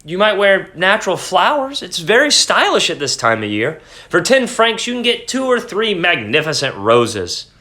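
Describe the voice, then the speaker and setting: A timid young clerk husband, jumpy and stammering, whose voice leaps high when he gets flustered, as a man plays him. His tone is bright and eager to please. It belongs to a dramatic storybook reading. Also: wildly swooping pitch, normal speed, few pauses, heavily acted. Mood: coaxing.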